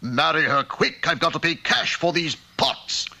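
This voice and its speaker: A man's voice: fairly high pitch, measured pace, bright, forceful tone, exaggerated voice. A man playing a fussy cartoon prime minister, formal and deferential, urging on his master like a conspirator. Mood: urgent. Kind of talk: comic cartoon voice acting.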